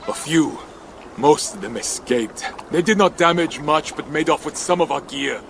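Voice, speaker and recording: Loyal Viking, slightly high pitch, gravelly creak, plainspoken, video game, faint ambience. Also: male voice, animated, moderate pace, a bit bright, slight background noise. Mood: indignant. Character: plainspoken male Viking clansman, loyal, indignant.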